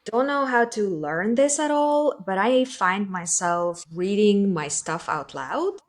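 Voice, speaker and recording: A woman's voice: mid-range voice, lively intonation, slow. A woman podcast host with a slight Russian accent, asking curious, hesitant questions in a casual interview.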